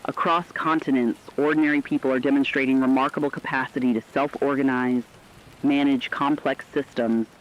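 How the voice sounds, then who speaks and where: A man's voice: medium pitch, natural voice. A man playing an earnest radio correspondent in a doomsday broadcast, reading scripted news formally and evenly in dull, lo-fi sound.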